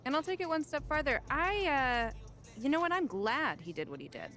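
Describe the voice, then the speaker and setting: A brash, sardonic publicist. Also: female voice, high-pitched, big pitch swings, normal speed, pushed and bright, soft background music, heavily acted. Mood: pushy. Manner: assertive, sardonic, laughs often. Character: pushy female publicist, brash and confrontational.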